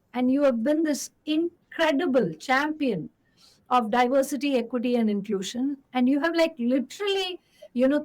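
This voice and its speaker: A woman's voice: sits high, low-quality recording. A woman with an Indian English accent hosting a podcast, reading a formal intro and then asking her guest questions in an effusive way. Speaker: podcast host.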